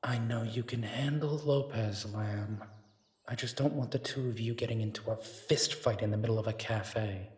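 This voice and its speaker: A man playing a calm, confident tough guy who reassures his friends in an audio drama. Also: on the low side, moderate pace, husky, dry recording. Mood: assured. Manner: calm, self-assured, reassuring. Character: confident easygoing adult man, hard to kill.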